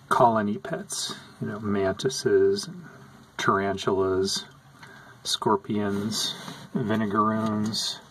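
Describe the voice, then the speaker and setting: A man calmly narrating over footage of his pet insect tanks, explaining what they do as he films. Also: on the low side, a little hiss or hum, low-quality recording. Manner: slow, calm, explanatory. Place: indoors at an insect tank.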